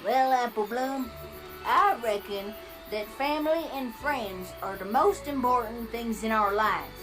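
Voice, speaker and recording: A woman playing a wise old granny pony with an American Southern accent, telling things in a homespun, gently scolding way for a cartoon comic dub. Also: fairly high pitch, a little slow, close to an everyday voice, rough recording. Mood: warm, sly. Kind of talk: fan comic dub character acting. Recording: music playing behind the voice.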